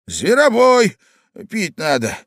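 A man voicing a forgetful old man from a storybook, rambling in a drawn-out, singsong way with a coughing, quavering voice. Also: sits high, huge pitch swings, fairly bright tone, no room sound, clean studio sound, pitched above the performer's own voice, full character voice.

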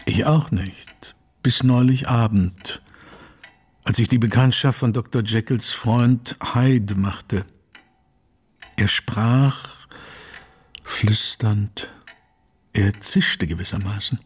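Grave period gentleman for radio drama: slow, husky, mid-pitched, courteous, formal and troubled. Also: full character voice. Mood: brooding, troubled. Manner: measured, formal, brooding. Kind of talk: German radio play acting.

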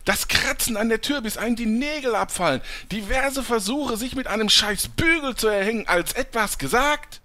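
A man's voice: very high voice, animated, normal speed, hardly any pauses, sharp tone, a little hiss or hum, exaggerated voice. An actor playing a bitter, whiny man locked away for years, sarcastic and complaining, in a comedic fantasy audio drama. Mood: aggrieved, sarcastic.

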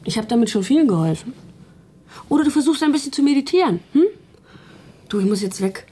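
A woman's voice: a little high, animated, fast talker, mellow, slightly rough. An actress playing a chatty, self-assured spiritual woman in a naturalistic radio drama, with colloquial, gushing delivery. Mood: breezy, caring. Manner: colloquial, gushing, chuckling between phrases.

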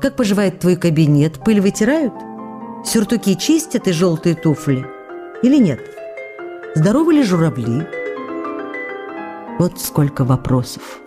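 A tender actress in love. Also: female voice, on the low side, big pitch swings, a little slow, long pauses, music in the background. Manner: tender, intimate, playfully questioning. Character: young woman actress writing tender love letters. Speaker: actress reading letters. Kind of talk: radio drama letter reading.

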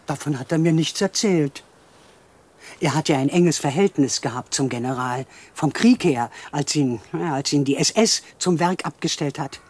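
An actress playing a plain-spoken, resigned elderly widow in a radio drama. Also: female voice, low voice, moderate pace, a little mellow, slightly rough. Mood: resigned, plaintive. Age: older.

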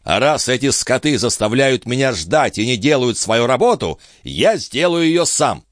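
A brash male viscount from a storybook, acted out by a male reader, insolent and used to giving orders. His pitch swings boldly as he barks, and the sound is close and dry. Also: very animated, normal speed, no room sound, close to an everyday voice. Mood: cool, defiant. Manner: barking, insolent, commanding. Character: brash, cool-headed, overbearing male viscount, quick to threaten.

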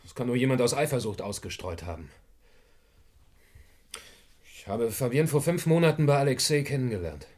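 An actor playing a courteous, fearful and lovesick murder suspect in a German crime radio play, formal and dramatic. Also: male voice, mid-range voice, lively intonation, moderate pace, long pauses, darkish tone, natural voice. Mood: indignant.

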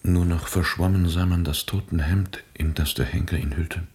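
A somber, reluctant revolutionary in a radio play. The voice is rock-bottom low, creaky and very husky. He talks in terse, subdued phrases with grave restraint. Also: steady tone, moderate pace, darkish tone, full character voice. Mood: grave, confessional.

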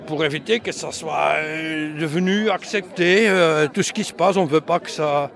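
A man, not a native French speaker, answers a street interview at a demonstration, halting with many restarts and fillers. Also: a little high, gravelly, a bit bright.

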